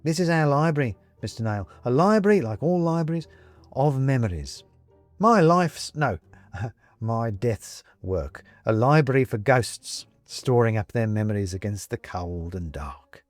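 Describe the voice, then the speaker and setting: Man putting on a hearty old-school British gentleman ghost. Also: medium pitch, animated, a little slow, no echo, faint music behind, lightly acted. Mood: proud, explaining. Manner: clipped old-fashioned chummy upper-class phrasing. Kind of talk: audiobook character acting.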